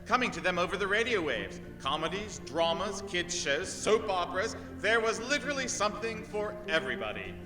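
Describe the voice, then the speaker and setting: A man's voice: sits high, big pitch swings, brisk, few pauses. A man doing old-time radio announcing and narration with theatrical, emphatic phrasing. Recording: echoey live hall, music behind.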